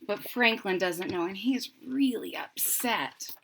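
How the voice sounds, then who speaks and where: Female children's storybook reader: unhurried, bright, expressive, with explanatory asides. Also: mid-range voice, creaky, a little hiss or hum.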